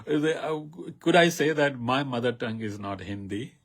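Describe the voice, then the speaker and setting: A man's voice: medium pitch, expressive, moderate pace. A man with an Indian accent hosting a radio interview, talking hesitantly with many fillers and frequent laughs. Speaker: radio host.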